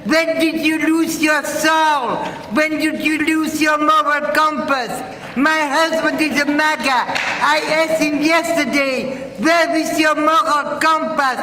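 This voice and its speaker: A woman's voice: high-pitched, steady tone, slow, sharp tone. A woman from Belgium with a Belgian accent giving emphatic, indignant public testimony as a town hall attendee. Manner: indignant, emphatic, rhetorical questions. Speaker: audience member at town hall. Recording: echoey noisy hall PA recording.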